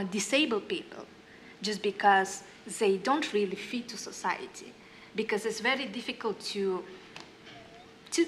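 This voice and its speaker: A young woman musician from northern Kazakhstan, a native Russian speaker, talking on a panel in halting English with many fillers and reading from her diary. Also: mid-range voice, fairly bright tone, a little hiss or hum. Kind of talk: panel talk with read-aloud diary. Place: hall panel stage.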